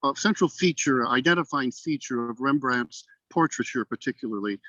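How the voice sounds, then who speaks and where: A man speaking formally and deliberately as a poetry reader, heard over a video call. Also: mid-range voice, bright, forceful tone, dry recording. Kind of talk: measured poem recitation, then thoughtful comment. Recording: dull, lo-fi call audio.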